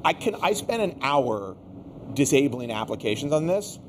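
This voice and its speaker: A man's voice: medium pitch, very animated, normal speed, slight background noise. A man hosting a casual, unscripted tech discussion, rambling and exasperated, tired and getting sick. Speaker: tech show host.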